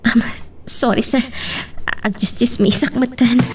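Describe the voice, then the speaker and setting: High-pitched female, apologetic office worker, radio drama delivery, acted by a woman. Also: steady tone, unhurried, slight background noise, natural voice. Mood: anxious, apologetic. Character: apologetic female office employee reporting staff trouble. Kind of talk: Ilocano radio drama acting.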